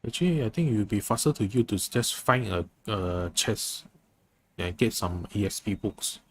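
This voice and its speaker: A man's voice: fairly low pitch, lively intonation, normal speed, a little hiss or hum. A man livestreaming a game, chatting casually with viewers and thinking aloud in a hesitant way. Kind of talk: casual chat with viewers during gameplay.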